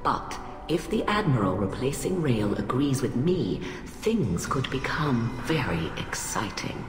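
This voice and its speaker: Very deep-voiced, haughty alien admiral. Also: female voice, sing-song, unhurried, full character voice. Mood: cool disdain. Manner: clipped, formal, condescending. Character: cold, haughty alien admiral, female, middle-aged scientist, ruthlessly rational. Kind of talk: video game voice acting. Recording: echoey hall reverb, music behind.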